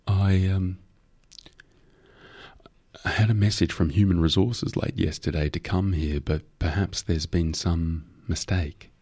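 A man's voice: sits low, fairly even intonation, a little slow, darkish tone, husky. A man voicing a nervous, modest male junior lawyer in an audiobook, hesitant and deferential. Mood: hesitant, apologetic. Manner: hesitant, stammering ums, deferential.

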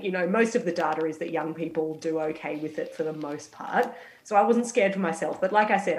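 Young aussie woman, low voice, bit croaky from a cold, chatting frankly in an echoey room. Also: a little hiss or hum. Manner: frank, reflective, many restarts. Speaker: medical student co-presenter. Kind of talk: casual radio panel chat.

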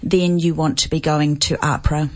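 Measured New Zealand woman, lowish voice. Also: flat delivery. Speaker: health complaints researcher, studio guest. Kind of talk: radio interview.

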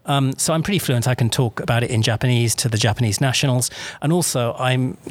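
A man's voice: medium pitch, quick pace. A middle-aged man, a native English speaker who directs a disaster memorial centre, explains its history outdoors in an interview, with a self-deprecating manner and frequent fillers and restarts. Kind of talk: on-location interview. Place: outdoors at a memorial site by the coast.

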